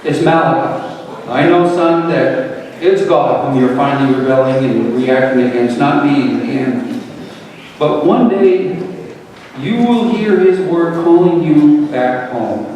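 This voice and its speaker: A man's voice: fairly high pitch, takes it slowly, darkish tone. A man playing a devout, forgiving father, earnest and preachy, in a dramatic reading of a parable. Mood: pleading. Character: devout, grieving, forgiving father pleading with his wayward son. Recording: very echoey hall from far off, faint noise.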